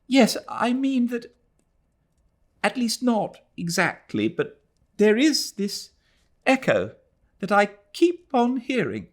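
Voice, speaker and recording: A man's voice: huge pitch swings, takes it slowly, long pauses, exaggerated voice. A man voicing a tearful, anxious young woman. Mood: pleading. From Britain. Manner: high, pleading, tremulous.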